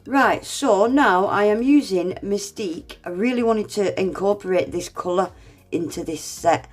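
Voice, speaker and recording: A woman's voice: medium pitch, measured pace, soft background music. A woman with a congested, under-the-weather voice, chattily talking viewers through a nail art tutorial. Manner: chatty, self-deprecating, addresses viewers as guys. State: congested, unwell. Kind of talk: casual step-by-step tutorial narration.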